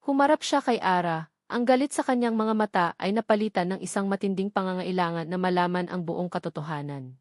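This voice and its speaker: A woman's voice: on the low side, brisk, no room sound. Woman narrating a dramatic story, dry close sound. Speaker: audiobook narrator.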